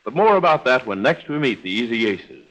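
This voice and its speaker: A man's voice: medium pitch, big pitch swings, brisk, fairly bright tone, highs cut off. An American man announcing in a polished, formal 1930s radio style, reading a script on a vintage recording.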